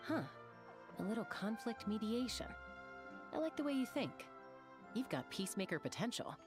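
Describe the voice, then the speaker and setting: Lively, friendly young heroine. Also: female voice, a little high, normal speed, over music. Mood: thoughtful, approving. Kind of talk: anime game voice acting.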